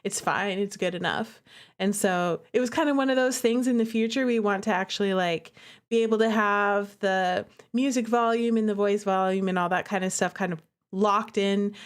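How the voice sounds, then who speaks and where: A woman's voice: medium pitch, normal speed, clean tone. A woman hosting a livestream, chatting casually and playfully to her viewers as she comments on a game. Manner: playful, animated, chatty, addressing viewers directly. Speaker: streamer and visual novel creator hosting a playthrough. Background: music playing behind the voice.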